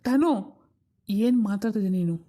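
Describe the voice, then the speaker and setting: A woman voicing an earnest, protective young man in a cartoon story, speaking firmly and deliberately as he explains himself. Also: mid-range voice, lively intonation, mellow, lightly acted. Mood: offended. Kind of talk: amateur cartoon story dialogue.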